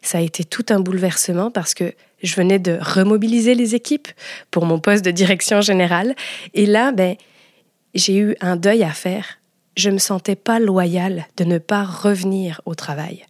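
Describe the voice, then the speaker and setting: A woman speaks as a podcast host, sharing a personal story. Her voice sits at an average pitch for a woman, and her delivery is expressive and confiding. The sound is dry, with hardly any room in it. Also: normal speed, dry recording.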